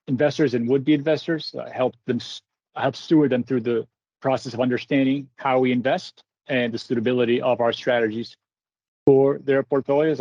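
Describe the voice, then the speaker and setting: A man from Brazil, a portfolio manager, talking as a guest in a measured, articulate way over a video call. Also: medium pitch, darkish tone, dry recording, muffled recording. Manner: measured, articulate, a few fillers.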